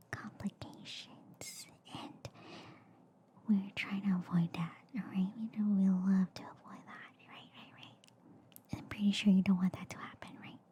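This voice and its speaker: A woman voicing a quirky, reassuring alien girl doctor in a soft ASMR roleplay, mostly in a hushed, breathy whisper with a soothing, chatty manner. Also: mid-range voice, slight background noise, lightly acted. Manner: slow, chatty, soothing, repeating filler words. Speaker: ASMR performer.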